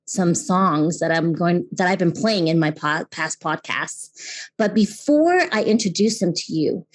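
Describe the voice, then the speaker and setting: A woman's voice: medium pitch, darkish tone. A woman in her fifties, originally from Taiwan, hosting a podcast and telling her own story candidly, with fillers and restarts. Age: 50s. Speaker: podcast host. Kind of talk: personal storytelling monologue.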